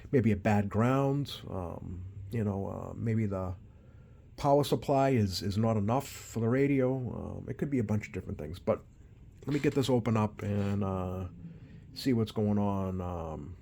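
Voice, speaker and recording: A man with a lowish voice talks through a hands-on repair as a technician would. His delivery is unscripted and halting, with thoughts that trail off and pick up again. A light layer of background noise sits under the voice. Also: creaky, dark tone. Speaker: CB radio repair technician and channel host.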